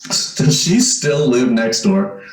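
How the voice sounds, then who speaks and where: A man playing a bashful, polite young son who talks haltingly and uneasily, in old-time radio drama style. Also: medium pitch, expressive, faint music behind. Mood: sheepish, uneasy. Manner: halting, sheepish. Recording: echoey room.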